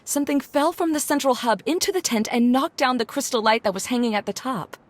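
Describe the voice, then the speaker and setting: A blunt young scholar from a video game. She is brisk and formal, with a fairly high, very mobile voice. Music plays behind her. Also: lively intonation, dry recording. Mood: troubled. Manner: formal, matter-of-fact. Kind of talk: video game cutscene acting.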